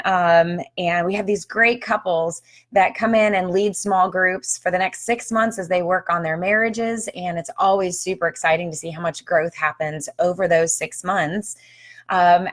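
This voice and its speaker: Casting note: woman host, slightly hoarse, chatty, lower pitch, upbeat live-stream talk. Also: fairly even intonation, normal speed. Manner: chatty and upbeat, with frequent ums. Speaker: author and marriage counselor hosting a live video. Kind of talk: casual live-stream chat. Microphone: phone or webcam on a live stream.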